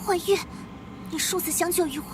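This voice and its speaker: Heartsick young noble girl, high voice, pleading, hiss behind. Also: fairly even intonation, a little fast. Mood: heartbroken. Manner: earnest, pleading, tearful. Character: lovestruck young noble lady, emotional. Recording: background hiss.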